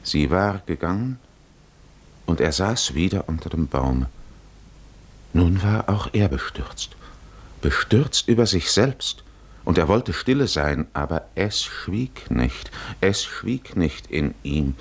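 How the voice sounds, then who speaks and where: A man narrating a 1950s German radio drama as a measured, solemn literary storyteller. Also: low-pitched, sing-song, slightly rough, full character voice. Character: solemn male storyteller of an epic.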